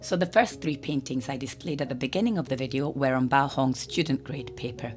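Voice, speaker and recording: A woman hosting a watercolour channel, talking in a hoarse, croaky voice from a cold as she narrates a chatty tutorial voice-over. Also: very deep voice, brisk. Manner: chatty, explanatory, lightly humorous. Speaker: art channel host. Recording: voice-over with guitar music behind.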